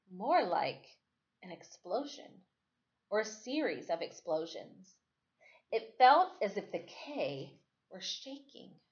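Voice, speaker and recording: Female storyteller for children's fiction read-aloud: medium pitch, dramatic, measured phrasing, muffled low-fidelity recording. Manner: dramatic, deliberate phrasing with acted-out exclamations. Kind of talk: expressive first-person story read-aloud. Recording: dull lo-fi recording.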